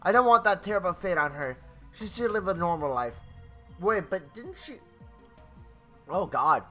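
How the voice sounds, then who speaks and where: A man's voice: sits high, animated, moderate pace, bright, forceful tone. A young man hosting a gaming video, reading story narration theatrically with casual asides and the odd stumble over words. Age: young adult. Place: small home bedroom. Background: game music.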